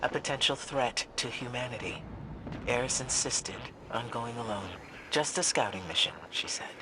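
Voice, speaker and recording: A man playing a solemn, worried senior leader in a video game, speaking in a measured, serious way. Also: mid-range voice, background noise, natural voice. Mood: solemn regret. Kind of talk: dramatic video game cutscene dialogue.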